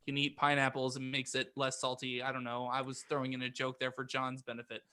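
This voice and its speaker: A man's voice: medium pitch, completely flat delivery, fast talker, bright, forceful tone, clean tone. A man chatting casually as a panelist on a podcast.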